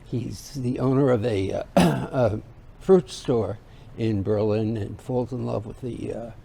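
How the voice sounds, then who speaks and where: A New York-born American actor feeling his way through a theatre interview, haltingly, in a gravelly, soft-edged voice. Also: lowish voice, slight background noise. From New York, United States. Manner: hesitant. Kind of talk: cast interview.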